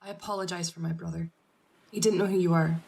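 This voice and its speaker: A woman's voice: on the low side, steady tone, fast, gravelly, a little mellow, soft background music. A woman acting a composed, polite task force officer in an audio drama, speaking formally and earnestly.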